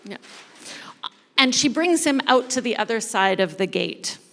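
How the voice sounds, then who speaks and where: A woman with a fairly high voice narrates a story live in a theatrical, playful way. She takes her time, leaving dramatic pauses. Her voice rings with long reverb in a large hall. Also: expressive. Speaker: church storyteller leading an interactive dramatic reading. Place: large indoor church space before an audience.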